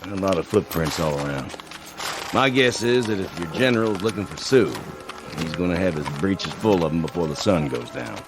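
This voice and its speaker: Dry folksy cavalry scout, real low voice, sardonic, radio drama. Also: male voice, expressive, normal speed, over music. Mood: grave. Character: seasoned, sardonic frontier army scout.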